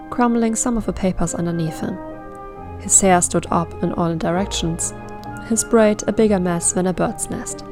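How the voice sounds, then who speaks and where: A woman reading fan fiction aloud as scripted story narration. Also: lowish voice. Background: background music.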